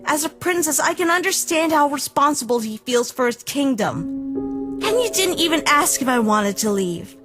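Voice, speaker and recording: A woman voicing a firm, fair-minded young princess in a fan-dubbed comic, earnest and gently reproving. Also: sits high, expressive, moderate pace. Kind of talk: fan-dub comic voice acting. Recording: voice over background music.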